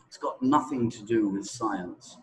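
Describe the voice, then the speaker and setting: A man's voice: on the low side, unhurried, slight background noise, rough recording. A man giving explanatory interview answers as a teacher, emphatic and repeating words for stress.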